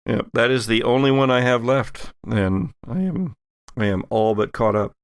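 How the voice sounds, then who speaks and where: A man's voice: mid-range voice, moderate pace, no room sound, studio quality. A man chatting casually as a podcast host and game master. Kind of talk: casual chat.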